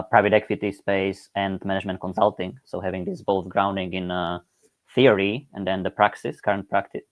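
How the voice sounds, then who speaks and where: A man speaks in a low, dry voice over a video call. He reasons in abstract, academic terms, stopping often on "uh" and adding "right?" to his points. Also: no room sound. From Eastern Slovakia. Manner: hesitant, many "uh" fillers and "right?" tags. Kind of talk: thoughtful academic talk.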